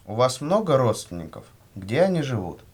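A man reading scripted questions and answers for a language lesson in a measured way. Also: mid-range voice, big pitch swings, slightly rough. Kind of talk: scripted language-lesson dialogue, read aloud.

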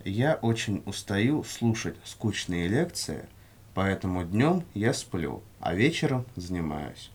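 A man reading scripted language-course answers in a measured, carefully enunciated way. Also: deep voice, very animated, creaky, slightly rough. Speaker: language lesson reader.

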